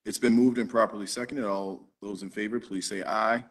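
Brisk man chairing a council vote. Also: on the low side, steady tone. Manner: brisk, formulaic calls for votes. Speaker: council president chairing a meeting. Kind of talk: formal meeting procedure. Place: office with flags behind, on a video call. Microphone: video call.

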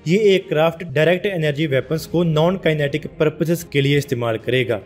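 Brief: Punjabi-accented male narrator for a defence explainer, steady and informative, a touch high-pitched. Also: a little fast, soft background music. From India.

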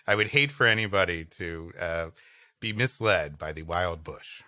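Male story podcaster, bright tone, dry close sound, comic asides. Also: sits low, moderate pace, no room sound. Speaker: podcast co-host reading an old story.

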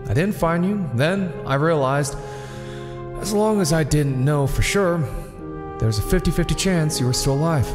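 An actor as a brooding vigilante, reverberant. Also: male voice, a little high, moderate pace, lightly acted. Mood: guarded, regretful. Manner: guarded, terse. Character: brooding, weary male vigilante in his private life. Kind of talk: audio drama acting. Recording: reverberant space under music.

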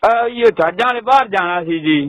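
A man's voice: high-pitched, animated, moderate pace, fairly bright tone. A man in his fifties with a Punjabi accent, making a comic phone call in a pleading, insistent, rustic and repetitive way. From Punjab, India. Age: 50s. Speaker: prank caller.

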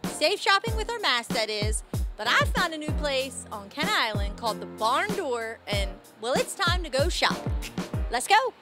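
A woman's voice: sits high, sing-song, normal speed, over loud music. An American woman in her thirties hosting a local shopping show, chatty and enthusiastic, with upbeat promotional delivery. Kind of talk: upbeat promotional presenting to camera. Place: small home decor shop, indoors. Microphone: handheld microphone.

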